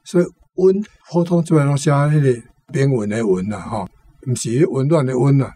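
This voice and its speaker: An older male teacher with a Taiwanese accent explains informally. His voice sits at a medium pitch, and he demonstrates by repeating short words. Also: normal speed, mellow, slightly rough. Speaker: guest teacher.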